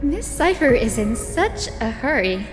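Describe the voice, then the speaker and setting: A woman voicing a gentle, earnest young priestess in a video game, speaking courteously and formally. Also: a little high, big pitch swings, measured pace. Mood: bright, polite. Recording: long reverb, music behind.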